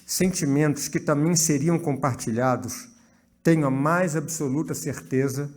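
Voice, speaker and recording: A man's voice: a little high, a little slow, darkish tone. A man with a Brazilian accent, the honoree's grandson, reading a prepared speech in formal, elaborate wording.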